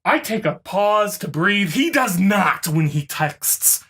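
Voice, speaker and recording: Video commentator, sarcastic, blunt, dismissive, husky, animated, off-the-cuff. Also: medium pitch, takes it slowly.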